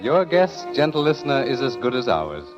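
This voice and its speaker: A man's voice: medium pitch, big pitch swings, normal speed. A man announcing formally in the style of 1940s radio. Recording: dull old radio recording. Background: faint music.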